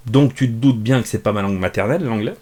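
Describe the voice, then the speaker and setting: A man's voice: mid-range voice, fast talker, a little mellow. A man chatting casually and unscripted from his small home office, reviewing a comic, self-mocking, with many fillers and restarts.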